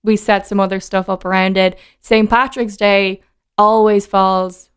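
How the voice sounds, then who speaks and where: An Irish woman talks as a podcast guest in a smooth, clear voice. She chats freely and laughs easily. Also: mid-range voice, fairly even intonation, measured pace. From Dublin, Ireland. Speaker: guest, whiskey brand ambassador. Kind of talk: casual interview chat.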